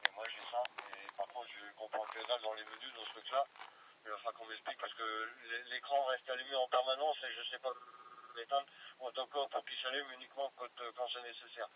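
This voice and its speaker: A man's voice: mid-range voice, little pitch movement, a little fast. A man in informal, rambling radio chat, with a hesitant delivery. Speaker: amateur radio operator. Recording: noisy two-way radio recording.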